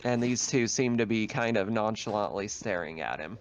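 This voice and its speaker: A man's voice: on the low side, lively intonation, normal speed, a little hiss or hum. A man narrating a tabletop roleplaying game as game master, explaining scenes in a conversational way.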